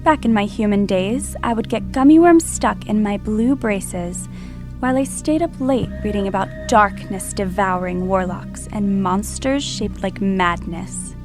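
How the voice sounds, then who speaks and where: A woman's voice: medium pitch, a little slow, music in the background, close to an everyday voice. A woman playing a creepy young female monster who hosts scripted horror stories in a theatrical, menacing manner. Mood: eerie, gleeful. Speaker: podcast host.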